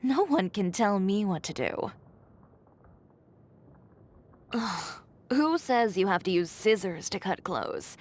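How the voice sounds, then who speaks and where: A woman's voice: medium pitch, animated, a little slow. A woman voicing a blunt, haughty young fashion designer in a video game, speaking in a curt, dry and self-assured way. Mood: defiant, confident. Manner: curt, dry, matter-of-fact, coolly condescending. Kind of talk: scripted game character voice lines.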